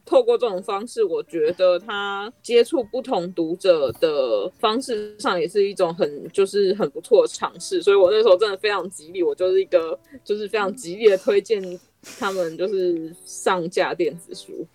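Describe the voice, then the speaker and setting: A woman's voice: mid-range voice, moderate pace, a little mellow. A woman with a Taiwanese accent in a casual podcast conversation, explaining things in an encouraging, persuasive way.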